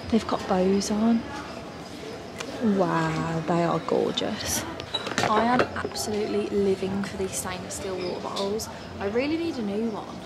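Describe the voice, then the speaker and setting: A woman, chatting excitedly in a casual shopping vlog, filmed on a handheld phone inside big stores. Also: mid-range voice, very noisy. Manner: gushing, upbeat, lots of "like". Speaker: vlogger. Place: large indoor shopping stores. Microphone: handheld phone at arm's length. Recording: loud store ambience behind voice.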